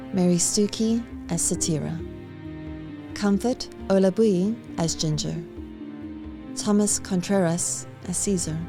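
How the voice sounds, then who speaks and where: A woman reading scripted production credits as an announcer for an audio drama. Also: on the low side. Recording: music behind the voice.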